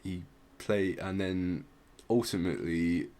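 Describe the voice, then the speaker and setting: A man hosting a podcast, informally explaining music history in a halting way as he searches for words. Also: low voice, fairly even intonation. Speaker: podcast host.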